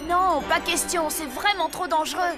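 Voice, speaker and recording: A woman's voice: very high-pitched, moderate pace. A woman voicing a cautious, responsible young boy with a scolding, level-headed manner. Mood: exasperated. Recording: cartoon soundtrack with loud music.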